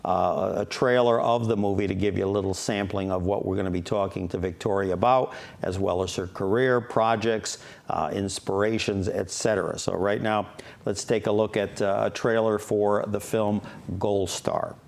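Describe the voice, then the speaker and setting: Low-voiced man hosting, halting with fillers. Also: fairly even intonation, creaky. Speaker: talk show host. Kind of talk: studio interview. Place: TV studio.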